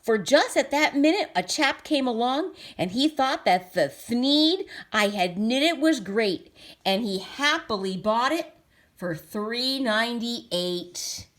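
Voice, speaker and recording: A woman reads a children's storybook aloud at an unhurried pace. Her fairly high voice moves expressively up and down, with little explanatory asides and questions for young listeners. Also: expressive, a bit bright. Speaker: storybook reader.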